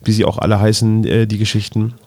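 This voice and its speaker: A man with a fairly low voice explains things as a podcast co-host. He talks casually and gropes for the right word as he goes. The recording is very dry, without audible room. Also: completely flat delivery, quick pace, dark tone, dry recording.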